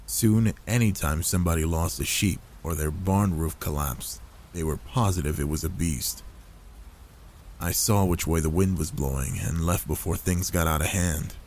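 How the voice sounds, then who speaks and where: A man voicing an older werewolf, a gruff but gentle protective loner, in a soft, intimate ASMR roleplay with a soothing, fatherly manner. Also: deep voice, slight background noise, natural voice. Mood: reflective, matter-of-fact. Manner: soothing, paternal, unhurried reassurance.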